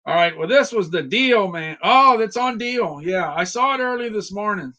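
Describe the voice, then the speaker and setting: A man's voice: high voice, animated, moderate pace, pushed and bright. A man hosting a casual live stream about deals, repeating phrases and talking to viewers by name, his voice slightly worn. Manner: repetitive, chatty, talks to viewers by name. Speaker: livestream host reviewing deals. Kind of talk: casual live product chat.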